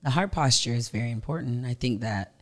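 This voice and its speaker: A man's voice: medium pitch, brisk. A man co-hosting a casual faith discussion, speaking hesitantly, with many fillers and restarts.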